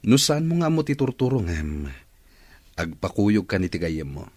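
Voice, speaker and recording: A man's voice: fairly low pitch, sing-song, normal speed, a little mellow, no room sound. A man playing a persuasive, evasive young boyfriend in a radio drama. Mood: brusque, hurried. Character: smooth-talking, evasive young boyfriend making promises.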